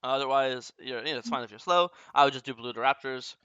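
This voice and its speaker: A young man coaching over a game replay, blunt and casually profane as he walks through each mistake. His voice is bright and forward, recorded dry with a slightly dull, muffled top end. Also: medium pitch, clear, resonant tone, no echo. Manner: blunt, profane, rapid explaining.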